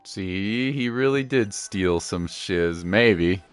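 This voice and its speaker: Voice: low-pitched, slow, clean tone. A streamer chatting casually over gameplay, echoing bits of the game's dialogue and reacting to it. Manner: reads along and echoes game dialogue. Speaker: game streamer. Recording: game music behind. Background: video game music and dialogue.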